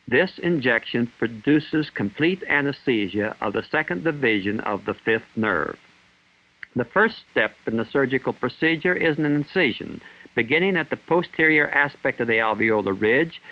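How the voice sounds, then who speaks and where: A man reading scripted instructional narration in a formal, measured, clinical manner, in the style of a 1960s training film. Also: mid-range voice. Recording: dull 1960s film soundtrack.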